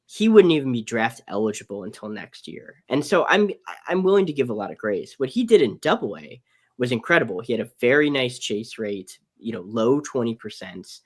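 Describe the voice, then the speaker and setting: A man's voice: mid-range voice, sing-song, a little fast, breathy edge. Man with a strained, fading voice. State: losing his voice. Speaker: co-host, prospect analyst. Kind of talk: casual baseball prospect discussion.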